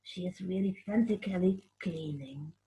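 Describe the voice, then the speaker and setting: A woman's voice: on the low side, moderate pace, creaky, mellow, highs cut off. A woman voicing a chatty, eager, honey-loving bear puppet in a homely way for a children's story time.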